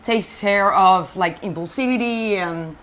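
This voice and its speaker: A woman from Colombia, a native Spanish speaker, telling her life story as an interview guest in an animated, halting way with frequent restarts. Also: mid-range voice. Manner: animated, halting, many fillers and restarts, frank. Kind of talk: personal storytelling in conversation.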